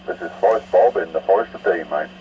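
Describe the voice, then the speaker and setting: A man chatting over CB radio in an unhurried, polite way. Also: low-pitched, a little mellow. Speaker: CB radio operator. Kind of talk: casual CB radio chat. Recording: muffled, distant CB radio with hiss.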